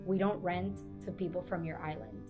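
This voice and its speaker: A woman's voice: fairly low pitch, steady tone, normal speed. A woman from Puerto Rico, telling personal stories with animation in an interview. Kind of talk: documentary interview. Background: guitar music.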